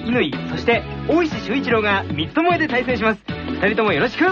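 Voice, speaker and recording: Eager middle school tennis player, high lively voice, presenting on anime radio. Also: male voice, quick pace. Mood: upbeat, earnest. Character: earnest, upbeat middle school tennis boy. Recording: dull radio sound with music behind.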